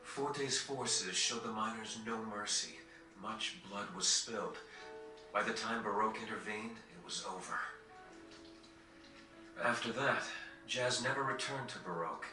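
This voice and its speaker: A man acting a dutiful, earnest young prince in a video game, speaking in a formal, measured, regretful way. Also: lowish voice, natural voice. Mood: somber. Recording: echoey, distant, over game music.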